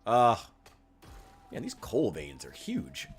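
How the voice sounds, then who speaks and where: Animated, profane male streamer. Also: fairly low pitch, takes it slowly, breathy edge. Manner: animated and profane, exhorting chat. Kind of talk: casual livestream chat while gaming. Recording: music playing faintly behind.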